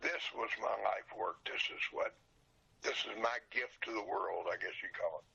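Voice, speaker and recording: An older man with a hoarse, breaking voice, talking earnestly and haltingly as a guest in a casual interview. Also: fairly low pitch, sharp tone, dull audio. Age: older, 60s or above. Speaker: podcast guest, radio show collector.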